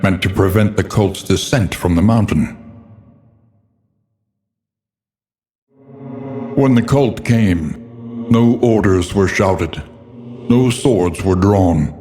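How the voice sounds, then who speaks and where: A man's voice: sits low, animated, takes it slowly, dark tone, faint music behind. An actor playing a grim, brooding male narrator of a doomed world in a video game, speaking in grave, ominous, theatrical gothic prose. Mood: somber. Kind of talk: dramatic scripted gothic narration. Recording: long cavernous reverb.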